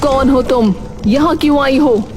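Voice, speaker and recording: A woman's voice: high voice, measured pace, music in the background. A woman voicing a stern female tantric who guards a village, chanting and commanding. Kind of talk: cartoon voice acting.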